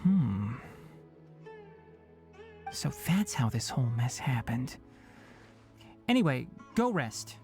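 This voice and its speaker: A voice actor playing a calm, brisk young male forest ranger and healer who is caring but firm. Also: medium pitch, faint music behind. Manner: brisk, level-headed and caring. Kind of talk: video game voice acting.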